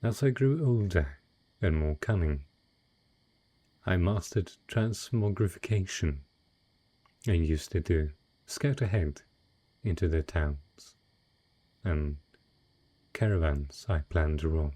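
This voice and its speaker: A man playing a wealthy, cunning dragon in humanoid disguise, speaking in a refined, eloquent and husky way in a romantic fantasy audio roleplay. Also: low-pitched, warm tone, heavily acted. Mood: wistful, suave. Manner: refined, eloquent, unhurried storytelling.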